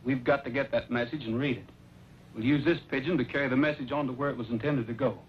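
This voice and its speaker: A man plays a decisive cowboy hero in an old western. He talks briskly in an easy, mid-pitched voice, heard through the dull sound and noise of an aged recording. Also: fairly even intonation, close to an everyday voice. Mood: confident planning. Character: confident cowboy hero, plain-spoken, takes charge. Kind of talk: old-time western acting.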